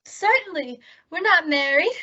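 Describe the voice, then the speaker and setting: A woman's voice: very high-pitched, animated, unhurried, pushed and bright, exaggerated voice. A woman playing a sweet, scatterbrained, guileless young woman in a radio comedy. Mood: eager. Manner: guileless, ditzy. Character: sweet, scatterbrained young dumb-blonde type. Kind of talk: old-time radio comedy acting. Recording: dull lo-fi recording.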